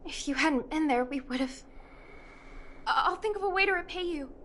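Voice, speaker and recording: A woman's voice: high-pitched, moderate pace, sharp tone, slight background noise. A woman voicing an earnest, grateful young child in a video game's story dialogue. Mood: flustered gratitude. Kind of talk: voiced game story dialogue.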